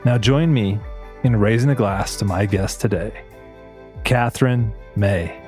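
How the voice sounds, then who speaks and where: A man hosting a reflective interview podcast, speaking in measured, thoughtful phrases. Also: fairly low pitch, darkish tone, soft background music. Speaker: podcast host.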